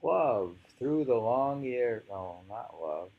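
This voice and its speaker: A man reading poetry aloud informally, musing and halting as he adds his own commentary in an unhurried way, on a dull home recording. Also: medium pitch, expressive, creaky. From United States. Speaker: poetry reader.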